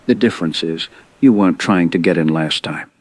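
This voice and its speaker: An actor playing a grim informant in an audio drama, speaking in grave, measured and emphatic warnings. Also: male voice, low-pitched. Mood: urgent warning. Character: grim male informant warning of bioweapon outbreak.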